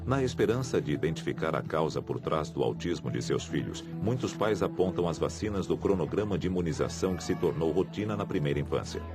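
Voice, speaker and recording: A man's voice: rumbling low, animated, quick pace, few pauses, music in the background. A man with a Brazilian accent reading scripted documentary narration.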